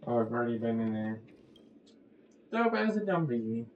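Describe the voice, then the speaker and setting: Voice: mid-range voice, big pitch swings, moderate pace, crowd noise. A game streamer giving casual, playful commentary full of silly jokes over gameplay. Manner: goofy, playful. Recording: echoey, muffled audio with faint music.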